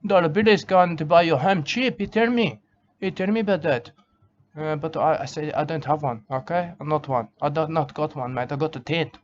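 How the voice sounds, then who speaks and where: A man's voice: on the high side, a little fast, a little hiss or hum. A man with an Australian accent talking in a rambling comic monologue outdoors, repeating himself in broken grammar. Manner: rambling, repetitive, broken grammar, keeps saying "mate". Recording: dull lo-fi recording with faint ambience.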